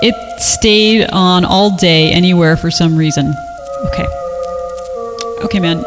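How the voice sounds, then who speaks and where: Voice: medium pitch, a little slow, over music. A person reading an amateur horror story aloud and joking along in an irreverent manner. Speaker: podcast reader.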